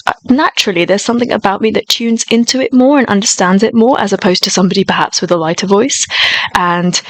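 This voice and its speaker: A woman with a high voice chatting casually and gushing enthusiastically while reacting to a music video. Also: poor audio.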